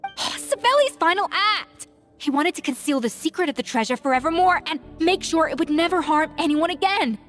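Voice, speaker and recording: High-pitched earnest game heroine. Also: female voice, lively intonation, a little fast, fairly bright tone. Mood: realizing. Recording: music behind.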